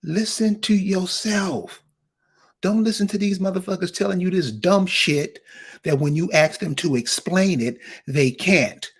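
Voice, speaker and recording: A man's voice: high voice, measured pace, husky. A man hosting an online discussion, talking bluntly and emphatically, with swearing. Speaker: host.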